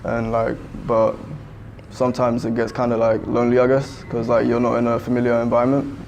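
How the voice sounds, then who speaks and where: A man's voice: medium pitch, monotone, brisk, noisy background. A young man giving laid-back interview answers, full of fillers. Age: young adult. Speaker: student interviewee.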